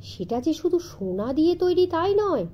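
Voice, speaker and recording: A woman tells a children's story from a script. Her voice is high and very animated, with expressive, dramatic delivery. The sound is slightly dull. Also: moderate pace. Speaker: audiobook narrator.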